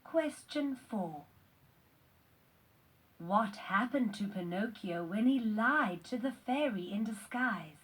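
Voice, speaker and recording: A woman reading scripted quiz questions and answers for children in a deliberate, instructional way. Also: on the high side, animated, slightly rough, rough recording. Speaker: narrator of a children's read-along quiz.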